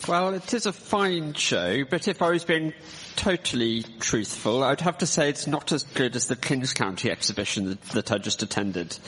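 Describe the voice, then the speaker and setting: A man playing a courteous but blunt visiting gentleman of the 1870s in a historical radio drama, with a husky voice in a reverberant space. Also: a little high. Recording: long echoing hall reverb.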